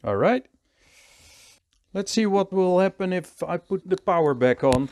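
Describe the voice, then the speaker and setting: A man with a Dutch accent, calmly thinking aloud as he works through a hands-on repair tutorial. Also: sits high, unhurried. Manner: calm, thinking aloud while working. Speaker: repair technician.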